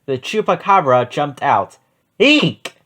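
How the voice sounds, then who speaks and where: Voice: medium pitch, expressive, normal speed, a bit bright. A narrator reading a children's picture book aloud in a storytelling style. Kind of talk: animated children's read-aloud.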